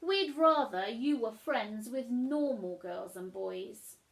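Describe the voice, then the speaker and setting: A woman's voice: a little high, takes it slowly, creaky. A woman voicing a snooty, judgmental parent in a storybook, prim and disapproving.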